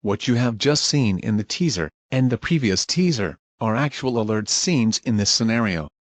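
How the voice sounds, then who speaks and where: A man's voice: fairly low pitch, expressive, moderate pace, dry recording. Young man, dry close recording. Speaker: channel creator. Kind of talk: scripted announcement to viewers.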